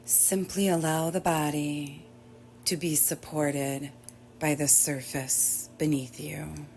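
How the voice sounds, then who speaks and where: A woman teaching yoga nidra, guiding relaxation in a gentle, soothing way with long pauses. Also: low voice, little pitch movement. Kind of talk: guided relaxation meditation. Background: soft background music.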